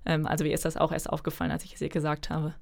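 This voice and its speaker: A woman's voice: low-pitched, monotone, rapid-fire, vocal fry, darkish tone. A young woman in her twenties, a German author, answering interview questions in a chatty, thoughtful way with frequent fillers. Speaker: author guest.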